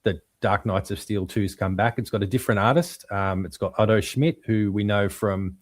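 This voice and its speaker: A male podcast co-host chatting casually about comics, halting and full of ums and restarts but enthusiastic. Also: lowish voice, steady tone, no echo, studio quality.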